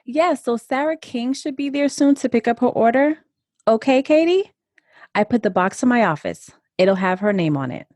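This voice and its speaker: A woman's voice: fairly high pitch, lively intonation, moderate pace, darkish tone, no echo, clean studio sound, natural voice. A woman acting in an audio drama as a casual, accommodating hostess at home, speaking in an easygoing conversational way. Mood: casual, slightly flustered.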